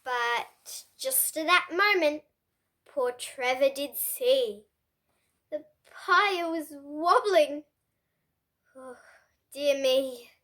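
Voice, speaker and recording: A child reading a picture book aloud in an expressive, halting way, with asides to young listeners. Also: sits high. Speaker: child reader, host of a kids' book channel.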